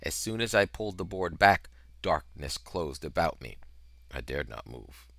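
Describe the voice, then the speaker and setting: Guy with a low bright voice reading a kids novel, super animated, really dry close sound. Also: moderate pace, gravelly, no echo. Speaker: reader of a children's novel. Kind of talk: expressive dramatic audiobook narration. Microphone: headset.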